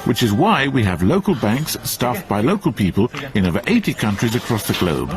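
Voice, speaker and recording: A man narrating a bank commercial in a measured, authoritative scripted read. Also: medium pitch, very animated. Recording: with loud music behind.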